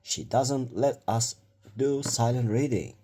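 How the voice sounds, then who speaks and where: A man's voice: mid-range voice, animated, slow pace, warm tone, slightly rough. A man voicing an earnest young schoolgirl who is reluctant about her new teacher, in a children's storybook. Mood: sulky.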